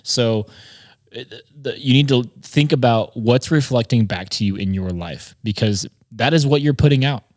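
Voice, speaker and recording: A man's voice: medium pitch, normal speed, darkish tone, no echo, studio quality. A man hosting a podcast, giving an informal, conversational motivational talk on business and addressing listeners directly. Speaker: podcast host and marketer.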